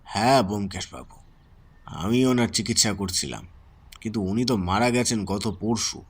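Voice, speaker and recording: A man's voice: lowish voice, normal speed, husky. A man playing a courteous, concerned physician in a Bengali audio drama, with a polite, deferential and dramatic delivery. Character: courteous, concerned male physician questioned in a murder case.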